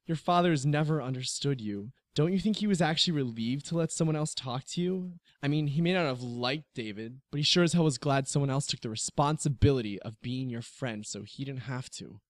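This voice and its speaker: A man's voice: mid-range voice, brisk, few pauses, no echo, close to an everyday voice. Earnest, pressing confidant, played by a man, dryly recorded. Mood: blunt. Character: earnest, pressing male confidant, admiring and blunt. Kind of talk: naturalistic web series drama acting.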